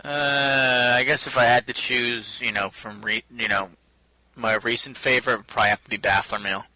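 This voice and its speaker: A man's voice: mid-range voice, steady tone, normal speed, bright, forceful tone, no room sound, rough recording. A man chatting casually on a fan podcast, laughing and quoting lines from the show.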